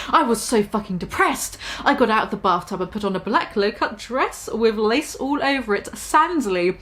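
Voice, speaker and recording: Young woman, high voice, comic dramatic reading, mock-serious, giggly. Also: a bit bright. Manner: giggly, mock-dramatic, often breaking into laughter. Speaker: YouTuber.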